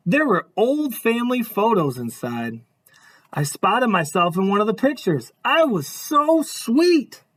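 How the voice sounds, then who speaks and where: A man's voice: sits high, heavily acted. A man voicing a cheerful reformed grape. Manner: earnest, lively storybook narration.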